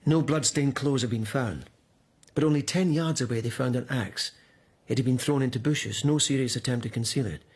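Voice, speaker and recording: An actor playing an earnest, troubled lieutenant who investigates at an imperial court, sober and guarded in a radio drama. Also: male voice, mid-range voice, normal speed, darkish tone, husky.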